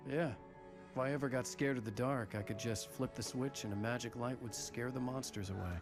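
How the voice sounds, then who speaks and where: A man's voice: mid-range voice, steady tone, brisk, over music, close to an everyday voice. Terse, troubled male writer played by an actor. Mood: tender, reminiscing. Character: troubled male writer, guarded and brooding, narrating his own story. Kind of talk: scripted game dialogue and first-person narration.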